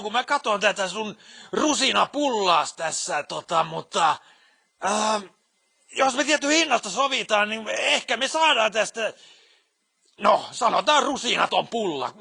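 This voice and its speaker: Man acting as scornful male mechanic, video game, crude, boastful, piercing, gravelly rasp. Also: high-pitched, lively intonation, pushed and bright, exaggerated voice. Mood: sneering, boastful. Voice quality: raspy, creaky. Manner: slangy, scornful, rambling. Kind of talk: video game character dialogue.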